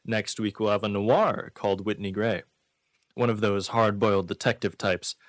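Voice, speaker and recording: A man's voice: on the low side, normal speed, no room sound. Dry-miked man hosting college radio. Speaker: student radio variety show host. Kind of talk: scripted radio announcing and interviewing.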